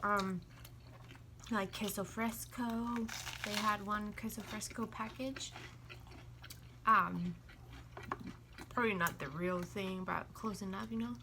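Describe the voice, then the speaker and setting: Woman from Finland chatting over clear noise. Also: medium pitch, steady tone, slow pace, pauses often. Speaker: mukbang vlogger. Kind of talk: casual chat while eating. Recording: noisy room sound behind the voice.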